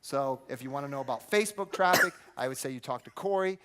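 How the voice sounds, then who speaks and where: A man's voice: medium pitch, expressive, fast talker, noisy background. A man hosting a live event, introducing speakers in an informal way from the stage.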